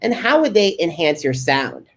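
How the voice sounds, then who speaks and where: A man hosting a podcast interview, asking prepared questions in a deliberate way. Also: fairly high pitch, sing-song, a bit bright.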